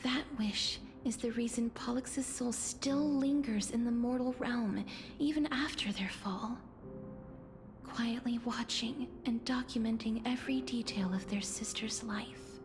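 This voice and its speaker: A gentle young woman of a fantasy game, solemn and tender. Her voice is fairly high, soft and breathy, with measured, formal phrasing. Clear music plays underneath. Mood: wistful. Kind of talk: video game story dialogue.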